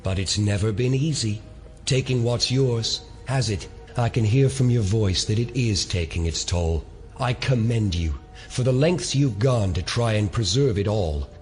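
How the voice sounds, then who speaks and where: An actor playing a menacing conspiracy leader in an audio drama, measured and coldly courteous as he speaks with deliberate weight. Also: lowish voice, a little mellow, soft background music, natural voice. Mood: smooth veiled menace. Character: menacing male elder leading a secret conspiracy.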